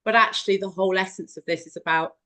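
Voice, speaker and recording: A woman hosting a podcast interview, speaking in a halting way as she searches for words. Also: mid-range voice, steady tone, pushed and bright. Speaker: podcast host.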